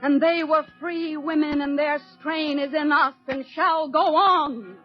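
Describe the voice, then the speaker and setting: An actress playing a resolute American housewife and mother, delivering a solemn, measured 1940s radio monologue with an American accent. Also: sits high, fairly even intonation. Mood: solemn, proud. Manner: solemn, measured, declamatory oratory. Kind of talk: 1940s radio acting, dramatic poetic monologue. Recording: muffled old radio broadcast, faint music.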